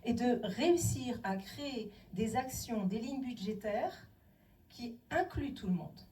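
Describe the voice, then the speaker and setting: A woman's voice: fairly high pitch, animated, a little slow, warm tone, distant mic, slight background noise, rough recording. A middle-aged woman giving an emphatic public talk on city design to an audience, asking rhetorical questions. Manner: emphatic, rhetorical questions, acts out quoted voices. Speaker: speaker on inclusive city design. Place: town hall meeting room.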